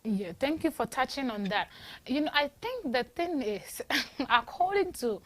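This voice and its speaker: A woman's voice: high voice, animated, measured pace, a bit bright. A woman from Ghana hosting a call-in talk show, chatty and emphatic, often restarting her sentences. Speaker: radio show host. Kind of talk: casual call-in discussion.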